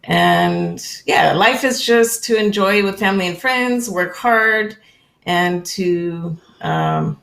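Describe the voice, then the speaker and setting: A woman's voice: medium pitch, slow, a bit bright. A woman from California, a guest in a live web interview, talking in a friendly, conversational way with frequent ums and small laughs over a video call.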